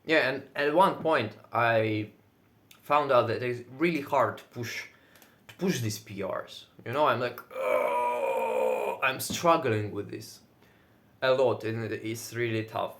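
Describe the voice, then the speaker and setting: A man whose first language is Russian, speaking English with a Russian accent in casual solo talk, now and then pausing to search for words and swearing lightly. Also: mid-range voice, animated, vocal fry. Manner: says he is loud most of the time. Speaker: video creator.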